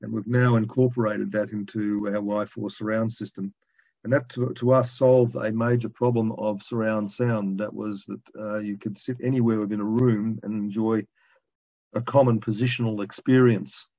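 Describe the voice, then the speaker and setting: A man in his sixties giving an informal technical presentation over a video call from a room at home, speaking in a halting, colloquial, conversational way. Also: lowish voice, little pitch movement, warm tone. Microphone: video call, computer microphone. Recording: dull lo-fi video call audio.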